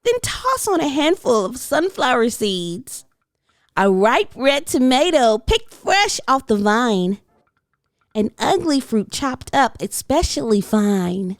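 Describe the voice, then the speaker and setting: Little girl character, acted by a woman, very high voice, eager, bossy, polite, storybook read-aloud, swooping intonation. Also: very animated, takes it slowly, dry recording, clean studio sound, heavily acted. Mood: eager, insistent. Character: tiny, chirpy, bossy hungry little girl.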